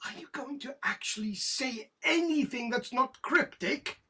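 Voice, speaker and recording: An actor playing a guilt-ridden, disgraced British police detective in a drama, husky and halting, stumbling over repeated words. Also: male voice, very high-pitched, bright, forceful tone, heavily acted. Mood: tense, indignant. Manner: halting, slow, stammering repetitions. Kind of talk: audio drama acting.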